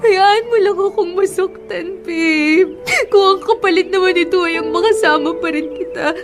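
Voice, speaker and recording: A woman's voice: very high voice, normal speed. A woman playing a heartbroken, clinging young mistress in a Filipino radio love drama, emotional and pleading. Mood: tearful pleading. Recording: music bed behind the voice.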